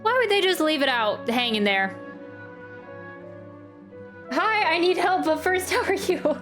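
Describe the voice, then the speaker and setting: A woman's voice: very high voice, animated, a little slow, over music. A young adult woman chatting casually on a game livestream in a friendly, giggly, slightly apologetic way.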